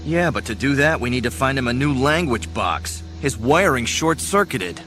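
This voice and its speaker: An actor playing a gruff, exasperated space smuggler pilot in an audio drama, wry and impatient. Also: male voice, mid-range voice, animated, moderate pace, a bit bright, a little hiss or hum, lightly acted. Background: music.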